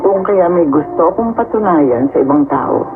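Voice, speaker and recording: Radio drama mother female actor: middle-aged, dramatic, self-justifying, narrow radio band, loud music underneath. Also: fairly low pitch, animated, normal speed, natural voice. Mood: regretful. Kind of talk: radio drama acting and confessional narration. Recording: dull radio recording, loud music behind.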